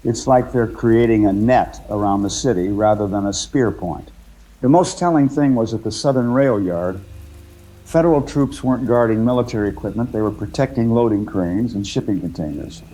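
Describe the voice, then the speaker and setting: A man playing a truck driver who calls a radio show in a war drama, giving a measured, matter-of-fact eyewitness account. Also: lowish voice, warm tone, lightly acted, rough recording. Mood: grave, matter-of-fact. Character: shaken but observant male trucker escaped from a war zone. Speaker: radio caller.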